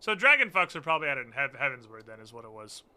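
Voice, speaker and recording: Very bright-voiced, irreverent male game streamer. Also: mid-range voice, sing-song, rapid-fire, smooth. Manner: irreverent, sweary, teasing. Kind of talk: casual joking live game commentary.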